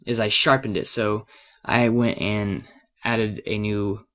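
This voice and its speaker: A man with a fairly low voice, made a little raspy by a cold, presents an unscripted software lesson. He speaks casually and hesitantly, working things out aloud as he goes. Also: steady tone, slow. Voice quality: slightly raspy. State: has a cold. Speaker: tutorial presenter.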